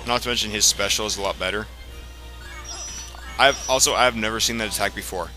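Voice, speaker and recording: A man giving casual, explanatory gameplay commentary in a halting way, as the host of a let's play. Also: fairly low pitch. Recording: game music behind the voice. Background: video game music.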